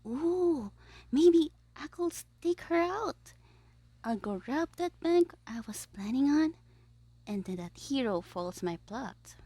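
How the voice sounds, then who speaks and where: A woman voicing a gleeful, scheming young male supervillain in an ASMR audio roleplay, theatrical and petulant, musing aloud with breathy asides. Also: sits high, animated, slow pace, mellow, no room sound, heavily acted. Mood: excited, smug.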